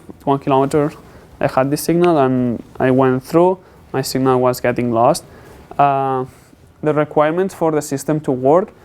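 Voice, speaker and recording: Male student presenter, English, clear smooth voice, medium pitch, explanatory and halting delivery. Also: a little mellow. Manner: halting, non-native English phrasing. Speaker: student presenting a capstone project.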